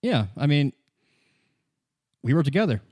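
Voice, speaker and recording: A man voicing a guarded, wry young woman in hiding, polite and halting, in a tabletop roleplay. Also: fairly high pitch, sing-song, darkish tone, no echo, voice pushed up. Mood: frank, hesitant.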